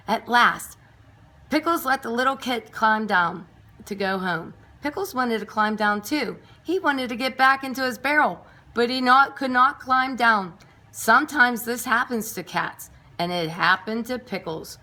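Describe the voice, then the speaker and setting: A woman with a high, bright voice reads a children's storybook aloud. Her delivery is emphatic and expressive, pitched for very young listeners, and she slips in little chatty asides. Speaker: storybook reader for nursery school children.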